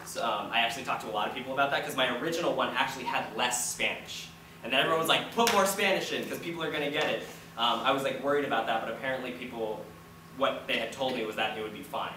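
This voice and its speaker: A man's voice: mid-range voice, quick pace. Distant, echoey young American man chatting informally. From United States, Florida. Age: early 20s. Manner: rambling, informal. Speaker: student playwright on a discussion panel. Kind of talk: casual panel storytelling and answers. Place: indoor room, public post-show discussion. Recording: very noisy, echoey room, far from mic.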